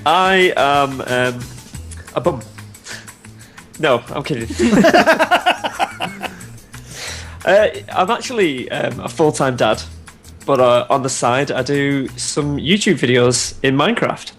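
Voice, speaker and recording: A man's voice: medium pitch, slow, pauses often, faint music behind. A man, talking casually and easily as a podcast guest. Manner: relaxed, easygoing, hesitant fillers. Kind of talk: casual chat.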